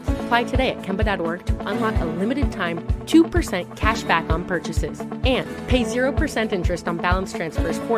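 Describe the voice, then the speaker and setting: Una mujer que lee un anuncio comercial con guion. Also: female voice, mid-range voice. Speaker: advertisement announcer. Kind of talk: scripted commercial narration. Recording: loud music bed.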